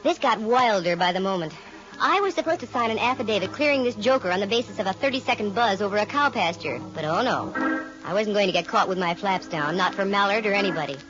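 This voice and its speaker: An American actress playing a sharp-witted, wisecracking woman private detective in old radio drama style, wry and breezy. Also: mid-range voice, lively intonation, fast talker, natural voice. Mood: wry narrating. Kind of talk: 1940s-50s radio detective acting, first-person narration. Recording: muffled vintage radio recording with music.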